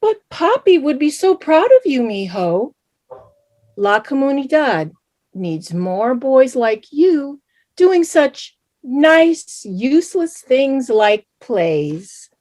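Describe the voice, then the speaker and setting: A woman's voice: high-pitched, sing-song, takes it slowly, a little mellow, exaggerated voice. A woman playing a doting, dramatic Salvadoran mother in her thirties in a staged reading, with an exclamatory, chiding manner. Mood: proud. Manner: exclamatory, chiding, mixes Spanish into English. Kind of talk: live staged play reading.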